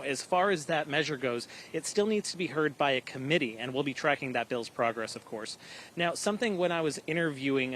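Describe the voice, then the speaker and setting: A man reporting live for TV news with an emphatic, slightly halting delivery. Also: medium pitch, a bit bright, slight background noise, low-quality recording. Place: live at an airport.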